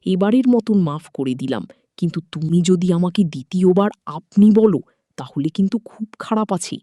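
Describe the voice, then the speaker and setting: A woman's voice: fairly low pitch, lively intonation, normal speed, dark tone, dry recording, studio quality. Woman voicing a doting, coaxing older father-in-law. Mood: coaxing, admiring. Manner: coaxing, admiring, indulgent.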